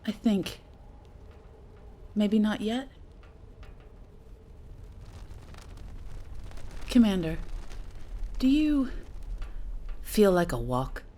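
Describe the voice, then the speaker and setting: A woman's voice: mid-range voice, big pitch swings, slow pace, mellow. An actress playing a stranded astronaut and mother, scared, bitter and blunt, in a naturalistic audio drama. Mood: weary, resigned. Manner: blunt, sweary, sardonic.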